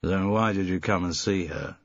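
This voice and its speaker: A man voicing a big, slow-witted young man who talks with a slight borrowed American twang in a staccato, rehearsed way. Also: deep voice, gravelly, no room sound, dull audio. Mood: flat menace.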